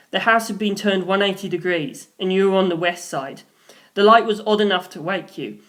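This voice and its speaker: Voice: mid-range voice, natural voice. A polite young male sleuth from an audiobook, acted out by the narrator, speaks in a mid-range voice. He is confident and formal, setting out his reasoning methodically.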